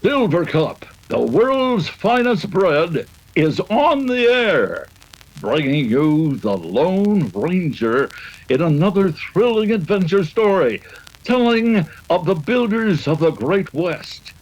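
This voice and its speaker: An American man reading a 1930s-style radio sponsor spot with emphatic, deliberate salesmanship. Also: fairly high pitch, a little mellow, slightly rough, full character voice, poor audio. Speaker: sponsor announcer.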